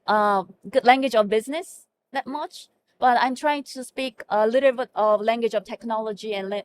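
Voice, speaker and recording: A woman's voice: fairly high pitch, a little hiss or hum. A middle-aged woman with a Korean accent, a native Korean speaker and hospital information officer, answering interview questions earnestly with many fillers and restarts. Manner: earnest, frequent fillers and self-corrections.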